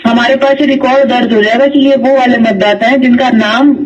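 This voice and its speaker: A woman's voice: fairly high pitch, fairly even intonation, brisk, a loud crowd. A woman official explaining procedure step by step on a replayed phone call, mixing in English terms. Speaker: election official. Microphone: phone call played aloud into a distant mic. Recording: muffled phone recording replayed, distant, noisy.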